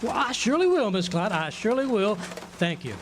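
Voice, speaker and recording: An actor playing a boozy, gossipy small-town professor with florid, courtly speech in a radio drama. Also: male voice, sits high, sing-song, fairly quick. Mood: courtly, grateful. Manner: florid, mock-formal wording. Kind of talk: theatrical radio drama dialogue. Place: outdoor amphitheater stage. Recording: noisy outdoor live recording.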